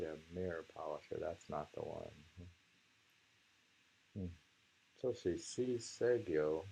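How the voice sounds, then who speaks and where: A man hosting a casual haiku discussion and reading poems aloud, in a halting, musing way that often trails off. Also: low voice, a little mellow, breathy edge, low-quality recording.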